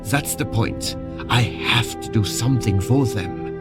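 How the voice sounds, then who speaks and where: A man's voice: lowish voice, unhurried, loud music behind the voice. An actor playing an earnest military captain and devoted father in an audio drama. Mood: urgent, burdened.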